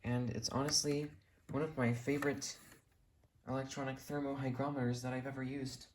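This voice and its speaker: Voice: mid-range voice, flat delivery, moderate pace, slight background noise. A hobbyist giving a casual, unscripted show-and-tell indoors from behind a handheld camera, rambling and correcting themselves along the way. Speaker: hobbyist video creator. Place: indoors in a room at home. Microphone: handheld camera, speaker behind it.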